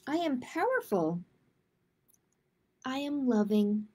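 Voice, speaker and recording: A woman hosting a live picture-book storytime for children, speaking to viewers in simple, deliberate phrases. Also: sits high, sing-song, warm tone, breathy edge.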